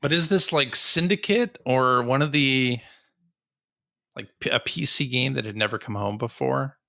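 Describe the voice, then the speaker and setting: Man, bright forceful tone, gravelly fry, casual podcast chat. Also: medium pitch, normal speed, no echo, studio quality. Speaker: podcast co-host. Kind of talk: casual quiz-show chat.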